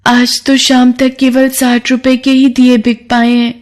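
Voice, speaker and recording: A woman's voice: sits high, monotone, a little slow, pitched above the performer's own voice. A woman voicing an earnest, emotional poor young girl in a moral story, with a plaintive manner. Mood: worried, downcast.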